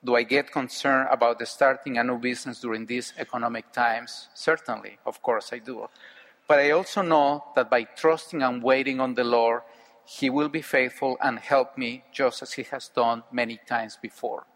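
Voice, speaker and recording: A middle-aged man from Mexico, speaking English with a Mexican Spanish accent, giving an earnest, measured personal testimony speech. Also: mid-range voice, steady tone, fairly bright tone. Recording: hall with long reverb.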